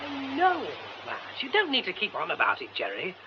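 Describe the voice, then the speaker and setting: Excitable high-pitched young British theatre man. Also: a little fast, full character voice. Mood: excited, defensive. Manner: exclamatory, effusive, breaking off mid-thought. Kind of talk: radio drama acting. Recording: dull, faintly noisy radio recording.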